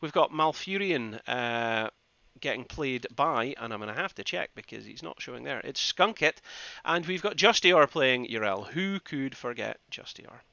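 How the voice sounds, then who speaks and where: A man from the Scottish Highlands with a Scottish accent, casting a game live in a conversational, rambling way and repeating phrases for emphasis. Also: lively intonation, moderate pace, creaky, few pauses, pushed and bright, no room sound, lo-fi sound. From Scottish Highlands, northeast Scotland. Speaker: esports caster and streamer. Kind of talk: live game commentary with casual asides to chat.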